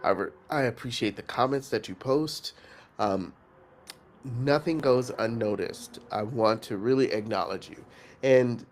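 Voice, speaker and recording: A man hosting a coaching challenge, speaking earnestly and reflectively with pauses and fillers, his voice nasal from congestion. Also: medium pitch, a little hiss or hum. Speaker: host and facilitator of an online coaching challenge. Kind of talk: motivational coaching talk.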